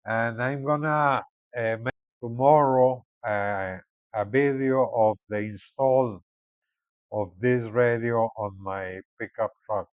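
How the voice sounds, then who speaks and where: A man giving casual unboxing and product commentary in an informal, halting way, often repeating words. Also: medium pitch, fairly even intonation, clean tone, no echo, lo-fi sound. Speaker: product reviewer.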